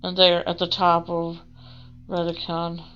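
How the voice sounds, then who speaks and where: Bright, forceful young-sounding woman gamer. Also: lowish voice, completely flat delivery, unhurried, highs cut off, faint music behind. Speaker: gaming channel host. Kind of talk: reading visual novel narration aloud for a let's play.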